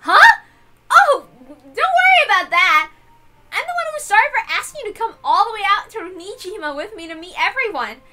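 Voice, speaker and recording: A bubbly teenage girl from a rich family, played by a woman, in a story. She is kind and chatty, with a very high, bright voice that swoops about. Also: normal speed, heavily acted. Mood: flustered, apologetic. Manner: animated, chatty, gushing.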